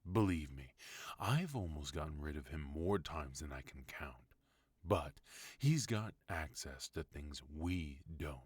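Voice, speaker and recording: A man playing a commanding mafia boss husband in an ASMR audio roleplay, speaking with cold, measured menace. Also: rumbling low, slightly rough, no room sound, full character voice.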